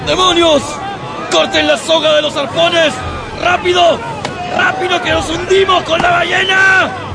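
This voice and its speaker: An actor playing a panicked sailor who shouts urgent orders in a radio drama. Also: male voice, very high-pitched, little pitch movement, unhurried, creaky, over a noisy crowd, very noisy, exaggerated voice.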